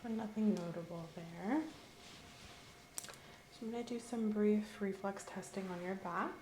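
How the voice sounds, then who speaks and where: A woman giving calm, slow, clinical instructions as a doctor in an ASMR roleplay, sometimes dropping into a whisper. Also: medium pitch, slight background noise. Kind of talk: soft-spoken ASMR medical roleplay. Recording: roomy echo.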